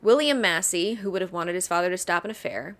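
A woman's voice: on the low side, fast talker. A woman co-hosting a podcast, telling a true crime case in a chatty way and laughing often. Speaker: podcast co-host. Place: Ohio.

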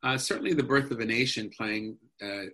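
A man's voice: medium pitch, fairly quick, pushed and bright. A man being interviewed as an actor, chatting casually and rambling with many restarts and fillers. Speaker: actor guest.

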